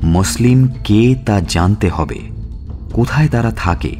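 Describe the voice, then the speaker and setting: An actor playing a police detective who narrates his murder investigation in a deliberate, questioning manner in an audio suspense story. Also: fairly low pitch, a little mellow, faint music behind. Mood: puzzled, pondering.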